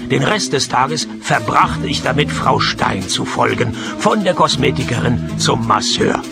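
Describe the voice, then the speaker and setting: An actor playing a wry, world-weary private detective who narrates his cases in a laconic, deadpan radio play style. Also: medium pitch, gravelly. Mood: laconic narration. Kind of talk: German-language detective radio play, first-person narration and dialogue.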